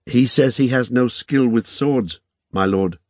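An earnest young woman in an audiobook story, voiced by a man. Her pitch is raised and her plain speech carries an anxious care. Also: a little slow, darkish tone, dry recording, natural voice. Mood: restrained.

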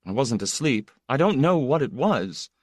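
A man's voice: mid-range voice, very animated, normal speed, no room sound, studio quality, voice pushed up. A man voicing an intense, melancholy younger brother, a proud poet who speaks in a restless, confessional, emphatic way.

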